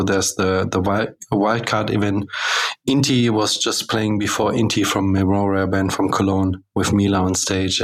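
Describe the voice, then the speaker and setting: A man hosting a radio show, talking loosely and chattily with many fillers, interviewing a musician at a live music event. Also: lowish voice. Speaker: radio host.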